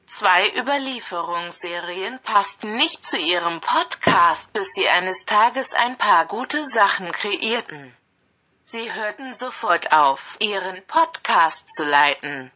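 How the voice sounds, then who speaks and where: A computer-generated woman's voice that reads text aloud at a deliberately slow pace. Its tone is bright and even, with the smooth sameness of a speech synthesizer. Every phrase arrives with the same measured rhythm. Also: medium pitch. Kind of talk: flat machine readout of translated text.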